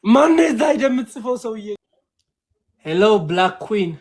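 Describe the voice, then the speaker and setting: A man's voice: high-pitched, big pitch swings, fast talker. A man with an Ethiopian accent, a comedian who teases and jokes with strangers on a live stream, mixing broken English and Amharic. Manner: playful, teasing, switches between broken English and Amharic. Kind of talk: joking live-stream chat.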